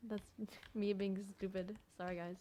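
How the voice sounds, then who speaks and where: A woman's voice: medium pitch, level tone, a little slow, mellow, a little hiss or hum. A woman reacting casually as she plays a video game, in short, self-deprecating exclamations. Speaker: gamer reacting to gameplay. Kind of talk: casual live gameplay reaction.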